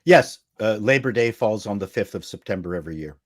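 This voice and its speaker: A man with a slightly low voice speaks as a guest on a panel show. He talks in a casual, conversational way. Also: expressive, fairly quick.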